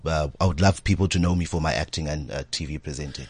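A man's voice: very deep voice, quick pace, slightly rough. A young man from South Africa, a TV presenter, chatting as an interview guest in an easygoing, modest way. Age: young adult. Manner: easygoing, modest, laughs easily. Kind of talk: casual interview.

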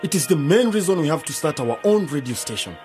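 A man's voice: on the high side, sing-song, fast talker, a little mellow, soft background music. An actor playing an opinionated, sceptical young man in an educational radio drama, blunt and argumentative. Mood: scornful.

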